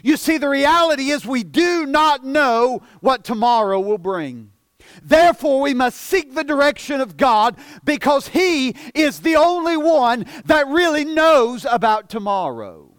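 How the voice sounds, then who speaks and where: A man of forty preaching a sermon as pastor, earnest, often repeating and stumbling over words, dropping soft for emphasis. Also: extremely high pitch, animated, a little slow, no room sound. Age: 40s.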